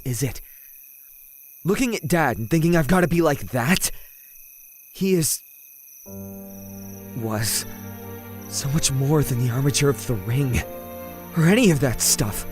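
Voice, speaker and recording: A man's voice: medium pitch, lively intonation, measured pace, pauses often, over music, natural voice. A young man acting as a reluctant, self-doubting prince in an audio drama, speaking in a casual, hesitant, brooding way. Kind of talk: audio drama acting and first-person narration.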